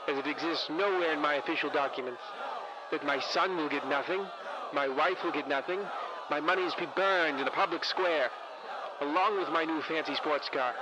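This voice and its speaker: Man playing spiteful besieged announcer, slightly high voice, grandiose, dramatic, roaring crowd behind. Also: a little fast, lightly acted. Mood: defiant, spiteful. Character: grandiose, spiteful male radio broadcaster under siege. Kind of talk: old-time radio broadcast parody. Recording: loud crowd and riot noise behind.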